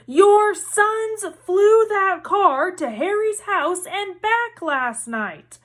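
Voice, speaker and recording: Storybook wizard housewife played by a woman reader: indignant, loud scolding, high-pitched. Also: animated, drawn-out words, full character voice. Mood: furious, scolding. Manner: shouting, indignant.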